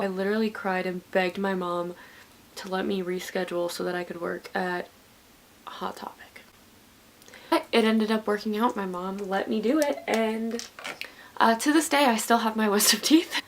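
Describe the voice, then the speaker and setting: A woman's voice: medium pitch, gravelly, slight background noise. A woman in her twenties telling a story in a rambling, chatty way while getting ready. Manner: rambling, chatty with many likes. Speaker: vlogger.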